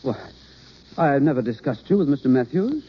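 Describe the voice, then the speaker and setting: A man's voice: medium pitch, very animated, moderate pace, breathy edge. An actor playing an earnest, reserved male drama coach, formal and hesitant, then fervent, in vintage radio drama style. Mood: guarded, flustered. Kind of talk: 1930s-40s radio drama acting. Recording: dull old radio broadcast recording.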